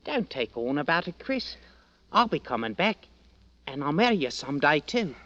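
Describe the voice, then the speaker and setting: Casting: plucky young soldier lad, swooping expressive delivery, old radio play, muffled sound. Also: male voice, on the high side, moderate pace. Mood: tender, jaunty. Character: plucky young male army fifer, cocky, fond sweetheart. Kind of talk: 1940s radio acting.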